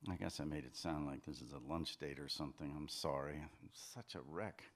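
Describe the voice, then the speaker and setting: An older man reading aloud as an insecure, needy married man, speaking softly and pleadingly into a table mic. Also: a bass voice, a little fast, voice pushed down. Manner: soft, pleading, quiet. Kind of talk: live fiction reading of dialogue. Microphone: table mic on a stand.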